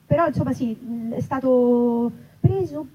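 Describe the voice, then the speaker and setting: A woman's voice: high voice, unhurried. A woman informally presenting her book at a live event, colloquial and wry. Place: festival event in an olive grove. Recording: dull live event recording, faint noise.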